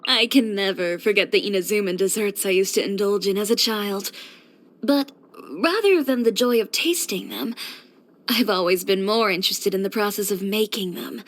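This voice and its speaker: A woman's voice: mid-range voice, moderate pace, lightly acted. A young woman voicing a confident, blunt fashion designer and boutique owner in a scripted video game, with a poised, direct delivery. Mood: thoughtful, determined. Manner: self-assured, direct, poised with dry sighs.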